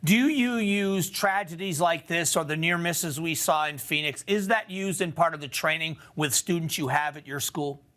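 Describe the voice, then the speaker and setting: A man's voice: fairly high pitch, moderate pace, few pauses, sharp tone. An American man hosting a television news interview, putting questions to a guest in broadcast style.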